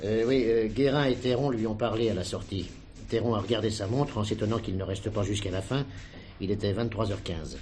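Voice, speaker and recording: A man's voice: on the low side, a little fast, darkish tone, lightly acted. An actor playing a touchy, middle-aged gendarme sergeant in a police drama, speaking hesitantly and deferentially to his superior. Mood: matter-of-fact. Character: touchy, long-serving French gendarme sergeant. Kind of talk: theatrical TV-style police drama dialogue. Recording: clearly noisy old drama recording.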